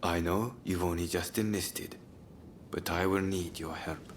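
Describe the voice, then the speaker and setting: Deep, stern swordsman, noisy audio. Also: steady tone. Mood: cool, resolute. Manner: measured, stern, formal. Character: grim, calculating male Shinsengumi swordsman, coolly confident. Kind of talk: dramatic video game cutscene acting.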